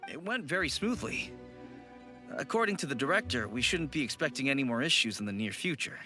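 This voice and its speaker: A composed funeral parlor associate in an anime-style video game. He speaks in a mid-range voice with formal courtesy and lively inflection. Music is clearly heard behind him. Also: mid-range voice. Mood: composed, apologetic. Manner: formal, measured explaining.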